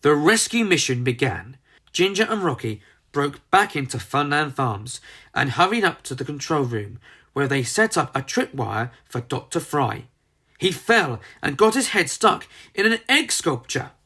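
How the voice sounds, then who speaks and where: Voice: mid-range voice, sing-song, measured pace, bright, forceful tone, breathy edge. A storyteller narrating a children's book in a theatrical, emphatic manner. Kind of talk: dramatised storybook narration with character voices.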